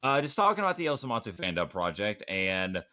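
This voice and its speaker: A man hosting a podcast in casual chat, rambling and repeating his phrases over a low-fidelity voice call. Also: big pitch swings, a little fast, bright, forceful tone, no room sound, dull audio. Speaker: podcast host. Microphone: voice call mic, close.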